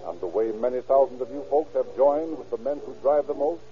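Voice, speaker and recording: A man's voice: medium pitch, brisk, soft background music. A man announcing and narrating a 1930s radio program in a formal, declamatory, scripted manner. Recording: tinny, band-limited 1930s radio recording.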